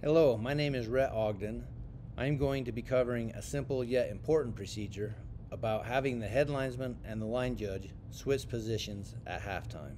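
An American man giving scripted training narration as an instructor for sports officials, in a measured, instructional way. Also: a little mellow. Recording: clear rumbling background noise.

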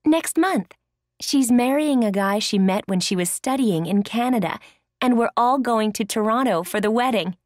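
A woman's voice: fairly high pitch, animated, normal speed, dry recording, studio quality, natural voice. An actress playing a cheerful, chatty woman in a scripted language-course dialogue. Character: cheerful, chatty woman sharing news with friends.

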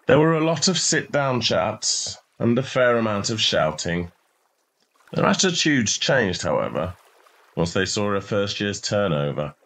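A man's voice: fairly low pitch, fairly bright tone. A man reading a short story in a scripted narration style.

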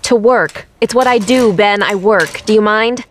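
A woman's voice: mid-range voice, measured pace, a little hiss or hum, natural voice. An actress playing a headstrong, independent working woman, curt, sarcastic and defiant, in an audio drama. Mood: irritated, defiant.

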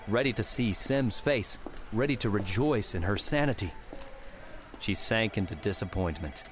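Low-voiced male audiobook narrator. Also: slight background noise. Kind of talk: scripted fiction narration.

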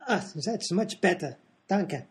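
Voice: mid-range voice, lively intonation, takes it slowly, heavy background noise. An actor voicing an eccentric, pompous, grumbling professor in a comedy radio play. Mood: grumpy, absurd. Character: eccentric, agitated German professor, a man.

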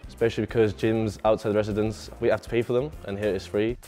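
A man's voice: on the low side, little pitch movement, fast talker, over loud music. A young man from Spain, answering interview questions casually as a student in a residence common room. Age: young adult.

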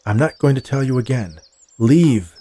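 A man's voice: medium pitch, animated, normal speed, mellow, slightly rough, pitched above the performer's own voice. A man voicing a guarded, stubborn young woman in a story, terse and defiant. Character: guarded, stubborn young woman defending her grandfather's land.

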